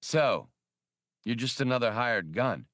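A man's voice: medium pitch, expressive, measured pace, fairly bright tone, no room sound, studio quality. A man voicing a brash, skeptical smuggler hero in an audiobook, with a blunt, challenging way of talking. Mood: scornful.

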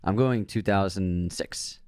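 A man co-hosting a casual movie podcast, reading a sponsor spot briskly and joking with his co-hosts. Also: deep voice, darkish tone, smooth, dry recording, studio quality. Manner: reads the sponsor spot briskly, then jokes and laughs. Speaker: podcast co-host.

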